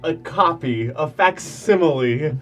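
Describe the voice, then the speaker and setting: A man's voice: fairly high pitch, animated, a little slow, over loud music. A man playing in a tabletop role-playing game, joking and feeling his way through descriptions. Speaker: player. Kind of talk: casual tabletop play.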